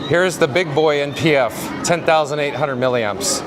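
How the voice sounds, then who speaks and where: A man hosting a casual live walking stream, chatty and a bit hoarse and raspy, reading the chat while juggling camera gear outdoors. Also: on the high side, normal speed, over music. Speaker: livestream host. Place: outdoor theme park and ride queue. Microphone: camera rig mic.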